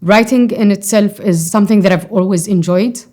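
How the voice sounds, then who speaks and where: A woman's voice: medium pitch, moderate pace, mellow. A woman in her forties from Yemen, speaking English as a second language with an Arabic accent, answering interview questions thoughtfully with frequent fillers and restarts. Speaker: poet and scholar.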